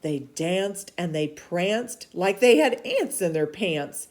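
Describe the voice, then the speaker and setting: A woman reading a children's storybook aloud in an expressive, animated way, dropping to hushed asides. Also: lowish voice, slow. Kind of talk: read-aloud of a children's book.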